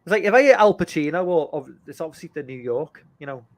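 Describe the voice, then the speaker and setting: A man chats casually, trailing off and restarting as he hunts for words. His voice swings up and down with a slightly raised pitch. A faint hiss sits under the recording. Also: animated. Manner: halting, restarts often. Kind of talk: casual chat.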